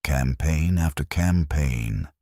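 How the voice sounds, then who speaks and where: A man narrating a scripted first-person story in a solemn, measured, deliberate way, with cinematic gravity. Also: extremely low pitch, dark tone, no room sound, studio quality.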